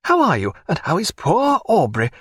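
An affectionate, excitable British lady of good breeding, played by a man reading an audiobook. The voice is lifted high and swings widely, with breathless, gushing emphasis. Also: moderate pace, husky, dry recording, clean studio sound, full character voice. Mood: eager, fussing. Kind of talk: audiobook character voice.

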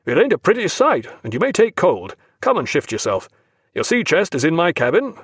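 A commanding old-style navy captain booms out his rebukes, as a male reader puts him on. The voice swings widely as he scolds. The sound is close and dry, with no room around it. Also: huge pitch swings, a little fast, no echo, full character voice. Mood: scolding. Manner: booming, scolding, gruff. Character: blustering naval captain, tall and commanding. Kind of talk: audiobook character voice.

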